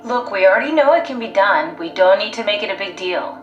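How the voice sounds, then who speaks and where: A woman's voice: mid-range voice, expressive, brisk, dull audio, close to an everyday voice. A woman playing a pragmatic, driven, blunt scientist in a video game, analytical, matter-of-fact and persuasive. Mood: insistent. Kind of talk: video game voice acting, naturalistic dialogue. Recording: echoey sound. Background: faint music.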